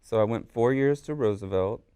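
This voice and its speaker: Eager, smooth-voiced man guest. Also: mid-range voice, moderate pace, dark tone, no room sound, studio quality. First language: Korean. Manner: rambling, eager storyteller. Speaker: guest artist and musician. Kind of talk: casual interview chat. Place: radio studio.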